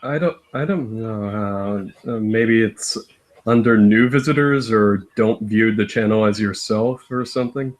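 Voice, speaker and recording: A man's voice: on the low side, measured pace. A man co-hosting a live stream in casual chat, chiming in with short, jokey reactions.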